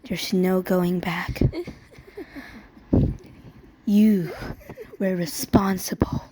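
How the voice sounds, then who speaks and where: A woman voicing an earnest, grieving young animal hero in an amateur animated drama, halting and solemn as she pleads. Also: on the low side, background noise. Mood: solemn, hushed. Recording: loud music under the voice.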